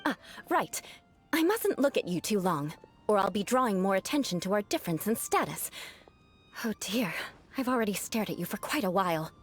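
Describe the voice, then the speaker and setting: A woman's voice: medium pitch, lively intonation, normal speed, soft background music, lightly acted. A woman playing a proud young noblewoman knight with grandiose, formal aristocratic speech in an anime video game. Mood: composed.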